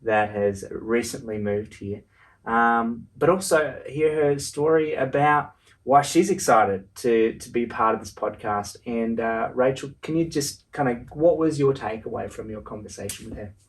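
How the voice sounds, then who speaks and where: A man's voice: medium pitch, unhurried, recorded from a distance, rough recording. Man hosting a podcast. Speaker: podcast host. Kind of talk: podcast introduction and casual chat.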